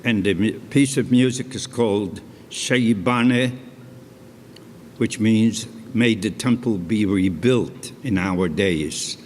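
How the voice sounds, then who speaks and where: An older man, his voice sitting fairly low, talks slowly and deliberately through his own life story. He drops chatty asides to a live audience. His words ring out with a long hall reverb and a faint hum behind them. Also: darkish tone. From Poland. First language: Polish. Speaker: stage storyteller and cantor. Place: concert hall stage.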